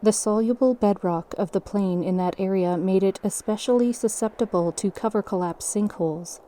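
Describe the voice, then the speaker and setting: A woman playing a factual report reader in an audio drama, narrating in a measured, formal, impersonal way. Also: mid-range voice, warm tone, close to an everyday voice. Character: factual female narrator reading a sinkhole report.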